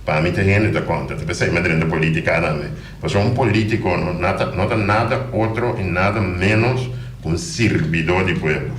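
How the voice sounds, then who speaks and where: Very deep-voiced man, emphatic on air. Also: a little fast, reverberant, a little hiss or hum. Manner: passionate, emphatic, repeats words. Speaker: island politician, guest. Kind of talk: radio interview, casual talk. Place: radio studio.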